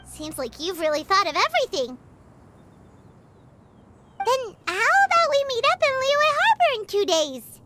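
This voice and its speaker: Squeaky chirpy little sprite. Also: female voice, very animated, unhurried, long pauses, over loud music, full character voice. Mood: sheepish. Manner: bubbly, eager, speaks of herself by name. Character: tiny floating girlish companion creature, chirpy. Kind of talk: video game voice acting.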